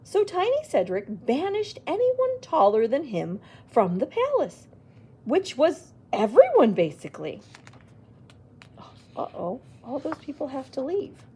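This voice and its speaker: A woman reading a picture book aloud to children, expressive, with little exclaimed asides. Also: sits high, a little slow. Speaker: children's library storyteller.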